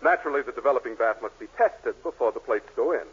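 An actor playing an exasperated photography boss in a 1940s radio comedy, formal and sarcastic. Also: male voice, medium pitch, quick pace, natural voice. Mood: dry, exasperated. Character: dry, exasperated male photography studio boss. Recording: muffled, noisy 1940s radio recording.

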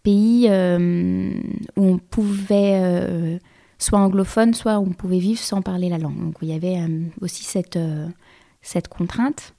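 Gravelly, mellow-voiced woman in her thirties. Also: fairly low pitch, fairly even intonation, unhurried. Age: 30s. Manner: hesitant, many fillers and restarts. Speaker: interview guest. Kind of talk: conversational interview.

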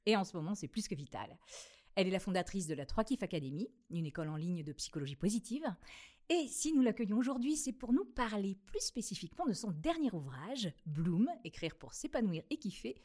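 Woman host reading a smooth introduction. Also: mid-range voice, very animated, brisk, mellow. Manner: smooth scripted opening, hesitant when ad-libbing. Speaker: radio host. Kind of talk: read introduction, then interview questions.

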